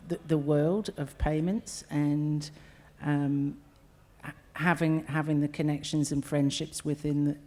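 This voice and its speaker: A woman with an Australian accent answering on a conference panel, her voice nearly gone, talking in a friendly, halting way. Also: low-pitched, warm tone. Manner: friendly, halting, repeats words.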